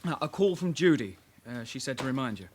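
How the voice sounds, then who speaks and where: An actor playing a keen young detective constable, an eager, questioning sidekick in a naturalistic radio drama. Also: male voice, mid-range voice, lively intonation, fast, lightly acted. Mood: reporting.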